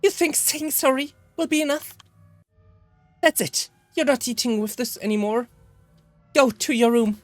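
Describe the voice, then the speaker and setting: A man voicing an angry, scolding woman host in a visual novel, in a shrill voice. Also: a little slow, long pauses, dry recording, soft background music. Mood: furious.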